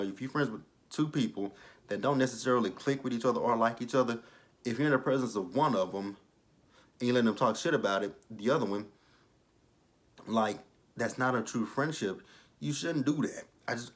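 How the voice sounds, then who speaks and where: A young man giving a casual, blunt and profane recap of a reality show, rambling into preachy asides. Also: medium pitch. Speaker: YouTube reality-TV reviewer.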